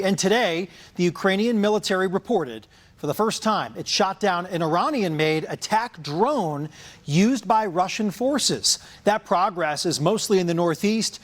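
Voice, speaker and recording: A man with an American accent reading scripted television news as an anchor. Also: high voice.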